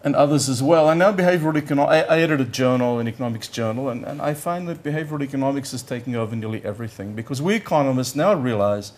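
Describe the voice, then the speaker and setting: Halting older male professor lecturing. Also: mid-range voice, a little mellow. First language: Yiddish. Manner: halting, searches for words, repeats "I, I". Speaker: economics professor giving a lecture. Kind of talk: conference lecture.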